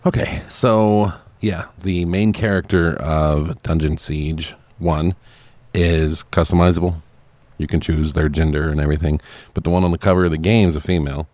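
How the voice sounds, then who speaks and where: A man's voice: very deep voice, fairly even intonation, moderate pace, a little mellow, dry recording. A man co-hosting a podcast, talking casually and conversationally about films. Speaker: podcast co-host. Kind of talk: casual film review chat.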